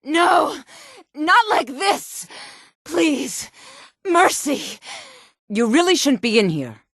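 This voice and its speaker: Game role: sultry, sharp-tongued fantasy townswoman, haughty and theatrical, unhurried delivery, very expressive pitch. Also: no echo, studio quality, heavily acted. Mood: panicked, pleading, then knowing, teasing. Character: sultry adult fantasy townswoman, haughty, flirtatious, quick to threaten. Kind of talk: video game voice lines, fantasy dialogue and combat barks.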